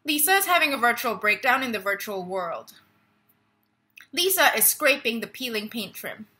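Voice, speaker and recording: A woman's voice: fairly high pitch, big pitch swings, normal speed, pushed and bright. A young woman acting a stage monologue as a lonely, wry, restless woman reading out her online status updates. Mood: frazzled. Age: young adult. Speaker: actor in a staged play reading. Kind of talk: dramatic monologue read as social media status updates. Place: living room at home. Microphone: laptop webcam at close range.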